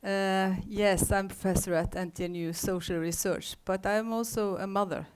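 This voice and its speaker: A woman's voice: medium pitch, fairly even intonation, normal speed, clear, resonant tone. A woman professor and activist opening her presentation in a friendly way, a little hesitant at first. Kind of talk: academic presentation.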